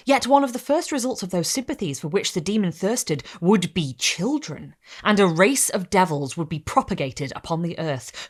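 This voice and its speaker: A woman hosting a candlelit reading series, reading a gothic novel aloud in a theatrical way and sometimes dropping to a hush. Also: medium pitch. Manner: theatrical, hushed drops to a whisper. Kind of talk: dramatic reading of gothic fiction.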